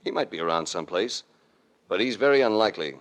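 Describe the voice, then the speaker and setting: An actor playing a cool, commanding investigator in an old radio mystery, with terse and authoritative delivery. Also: male voice, deep voice, animated, fast. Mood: brisk, thoughtful. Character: cool, commanding male investigator, hard-boiled and shrewd. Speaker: lead actor and narrator. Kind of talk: 1940s-50s radio detective acting. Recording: vintage radio drama recording.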